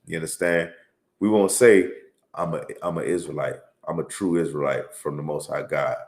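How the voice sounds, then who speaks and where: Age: adult. Sex: man. Pitch: very low. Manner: tags lines with "man", reads scripture raised and slow. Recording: echoey room. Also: steady tone. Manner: reads scripture aloud in a raised, louder, slower voice. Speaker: religious teacher. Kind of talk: informal Bible teaching and commentary.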